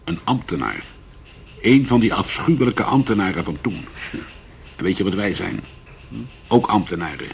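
An actor playing a weary, conscientious police commissioner in a radio drama, with a probing, plain-spoken manner. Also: male voice, sits low, very animated, moderate pace, vocal fry, pauses often, slight background noise, heavily acted. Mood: bitter, weary.

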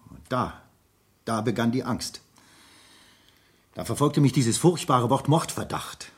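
An actor playing a formal, anxious businessman under suspicion in a 1970s German radio crime drama, speaking deliberately and defensively. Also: medium pitch, lively intonation, husky. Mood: anxious, confessing.

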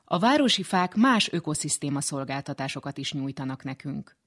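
Science radio interviewer, medium pitch, reporting and putting questions to experts. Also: very animated, fairly bright tone, breathy edge, no echo, clean studio sound.

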